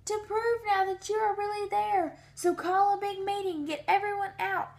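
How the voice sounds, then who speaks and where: An earnest elephant from a children's storybook, acted out by a woman, speaking high and loud. He is kind-hearted and anxious, and he presses every plea with urgent emphasis. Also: steady tone, normal speed, vocal fry. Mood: urgent pleading. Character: earnest, kindly, anxious male elephant pleading loudly.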